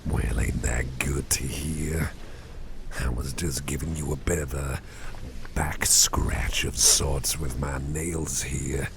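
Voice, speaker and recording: Male, extremely low creaky voice, breathy half-whisper, playing a doting boss in soothing ASMR, close mic with audible background noise. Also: steady tone. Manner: gentle, soothing, folksy, unhurried. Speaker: ASMR roleplay performer playing a caring boss.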